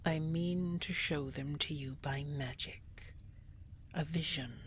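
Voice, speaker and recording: A regal dragon queen, played by a woman, speaks in a low, breathy near-whisper for an intimate audio roleplay. She draws her words out very slowly, formal and coaxing, adoring yet controlling. Noticeable ambient noise sits behind her voice. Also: fairly even intonation. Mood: coaxing, thoughtful. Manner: slow, formal, coaxing endearments.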